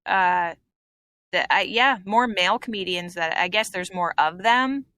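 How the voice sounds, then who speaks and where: A woman's voice: on the low side, a little slow, pushed and bright. A woman comedian chatting as a guest on a podcast, reminiscing with plenty of fillers. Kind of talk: casual podcast interview.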